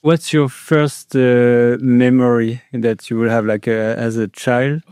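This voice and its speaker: Casting: male interview host, mellow and clear, hesitant phrasing, dry studio-close sound. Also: mid-range voice, fairly even intonation, dry recording. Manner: halting, hesitant phrasing with repeated words. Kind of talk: casual interview.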